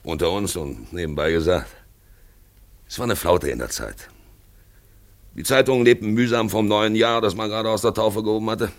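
A dramatic 1950s radio play narrator, low-ish male voice, really lively intonation, rhetorical, talks right at you. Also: normal speed, pauses often. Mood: dramatic, reflective. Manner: dramatic, rhetorical, addresses the listener directly. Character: eloquent male narrator guiding the listener through a drab town. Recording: 1950s German radio production.

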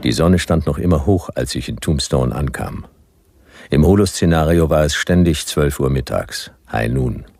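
An actor playing a hardboiled, laconic detective who narrates drily and deadpan in a radio play, with a gravelly voice. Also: male voice, a bass voice, moderate pace, exaggerated voice. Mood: dry, deadpan. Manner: dry, deadpan. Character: hardboiled laconic male detective narrating his Western ordeal. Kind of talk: radio play first-person noir narration.

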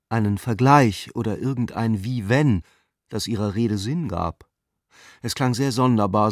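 Low-voiced male literary narrator. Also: no room sound, clean studio sound. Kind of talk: scripted literary narration for radio drama.

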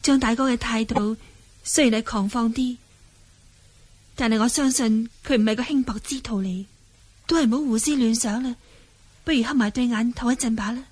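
A woman acting a high-voiced, shy young heroine. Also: normal speed, long pauses, darkish tone, no room sound, lightly acted. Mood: dreamy musing. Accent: Hong Kong Cantonese. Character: shy, innocent young heroine passing as a young man. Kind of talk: Cantonese radio drama acting.

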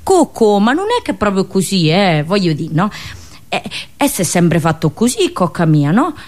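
A woman's voice: medium pitch, sing-song, normal speed, a little mellow, lightly acted. A woman voicing a resigned village local in colloquial central Italian dialect, coaxing the listener with tag questions. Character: resigned village local shrugging at petty favouritism.

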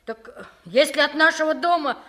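An earnest, hot-headed schoolboy of about twelve, with a very high, sharp, bright voice. The delivery is indignant, in the manner of a 1960s radio play. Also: female voice, moderate pace. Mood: insistent.

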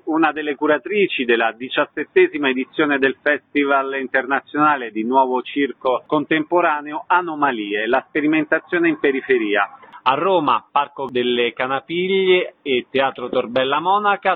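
A man's voice: medium pitch, moderate pace, breathy edge, narrow, tinny audio. A man presenting and asking questions as a radio interviewer. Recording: thin band-limited radio sound.

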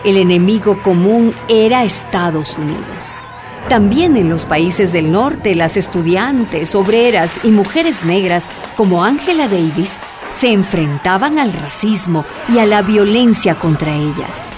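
A woman's voice: mid-range voice, animated, unhurried. A woman narrator reading scripted radio drama narration. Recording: music behind voice.

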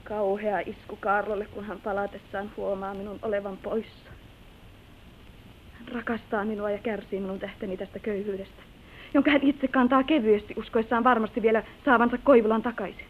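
An actress playing an unhappy young wife, torn and weary of poverty, in a plaintive, theatrical old radio drama style. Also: female voice, medium pitch, steady tone, lightly acted. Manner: plaintive, slow theatrical delivery. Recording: old muffled narrow-band radio recording, hissy.

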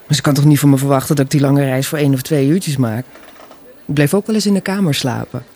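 Radio drama mother, mid-fifties: husky, wistful, quietly stubborn, conversational. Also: a bass voice, fast, full character voice. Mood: wistful, chatty. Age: middle-aged. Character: wistful, gently stubborn mother of fifty-five. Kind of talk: Dutch radio play dialogue, naturalistic.

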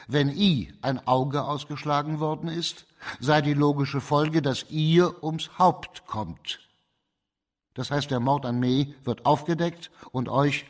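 A man acting as a shrewd, composed magistrate in a radio crime drama, laying out his case in measured, formal German radio-play style. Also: mid-range voice. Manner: measured, methodical, formal, explanatory.

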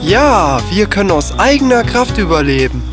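Eager middle piglet brother played by a woman, carefree and cheeky cartoon storybook voice, very lively intonation. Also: mid-range voice, normal speed, music in the background, natural voice. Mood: agreeing, defiant. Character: eager, naive young male pig, carefree middle brother. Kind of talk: children's cartoon fairy-tale dialogue.

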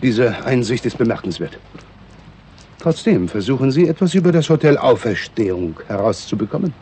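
An actor in a 1960s radio play, playing a formal, fussy and suspicious male government official with a husky voice. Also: mid-range voice, very animated, normal speed, mellow, slight background noise, lightly acted. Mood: officious, suspicious.

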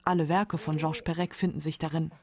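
A woman's voice: low-pitched, flat delivery, a little fast, darkish tone. A woman with pronunciation reading scripted narration for a literary radio documentary.

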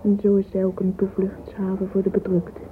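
A woman's voice: mid-range voice, monotone, fairly quick, lightly acted. An actress playing a dreamy, yearning, romantic young woman in a radio drama monologue. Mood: pious. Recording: thin phone-like old radio recording, noisy.

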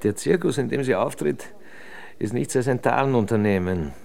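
An actor playing a pedantic, ironic criminal investigator in a German radio drama, lecturing with smug pomposity. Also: male voice, medium pitch, expressive, moderate pace, gravelly, a little mellow. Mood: smug, explaining. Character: wry, pedantic male criminal investigator, smugly theorizing.

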